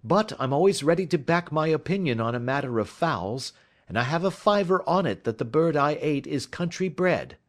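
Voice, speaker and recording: Audiobook part: shrewd gentleman sleuth, played by a man, nonchalant and courteous, pitched up with a lilting swing. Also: lively intonation, moderate pace, lightly acted. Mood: careless, nonchalant. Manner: careless, polite, lilting. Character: cool, shrewd gentleman detective needling for information. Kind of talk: audiobook character dialogue.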